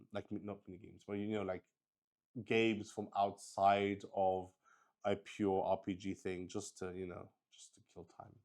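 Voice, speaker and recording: A man's voice: fairly low pitch, darkish tone, clear, resonant tone. A man hosting a solo tabletop game stream, chatting casually and unscripted in a rambling way that often restarts sentences. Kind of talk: casual livestream chat, unscripted.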